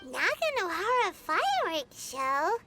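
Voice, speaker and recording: A woman voicing a tiny, eager, childlike companion creature in a video game, squeaky and emphatic. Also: sing-song, measured pace, loud music behind the voice, heavily acted. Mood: curious.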